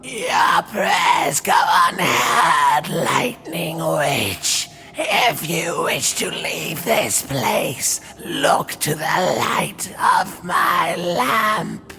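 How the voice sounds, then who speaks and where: Wrathful wraith, crying out, lowish gravelly voice, incantatory, menacing, fantasy game. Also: female voice, lively intonation, extremely slow, hardly any pauses, bright, forceful tone, shouting, faint music behind, full character voice. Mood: wailing menace. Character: wrathful, wailing female undead spirit bearing a lamp. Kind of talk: villainous fantasy game acting.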